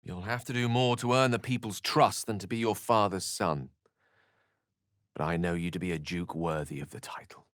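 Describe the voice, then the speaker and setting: An actor playing a bookish, witty and earnest human wizard in a video game, with erudite, theatrical phrasing and a touch of melancholy. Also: male voice, sits low, fairly even intonation, normal speed, fairly bright tone, no echo, studio quality. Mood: thoughtful, earnest. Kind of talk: video game character voice lines.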